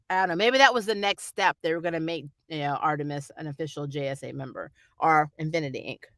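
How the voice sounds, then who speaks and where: Mid-pitched vlogger, casual fan-review chat, rambling, opinionated, dry close recording. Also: brisk, a bit bright, no room sound. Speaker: YouTube reviewer reading and answering viewer comments.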